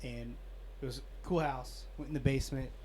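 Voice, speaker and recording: A man's voice: mid-range voice, steady tone, fairly quick, darkish tone. A man, a guest telling a story and building suspense as he goes. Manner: builds suspense, says "listen". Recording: faint background noise.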